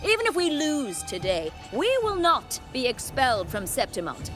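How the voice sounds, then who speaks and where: A woman's voice: high voice, big pitch swings, moderate pace, over music. An actress playing a proud, imperious noblewoman in a video game, speaking formally and theatrically. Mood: proud, defiant.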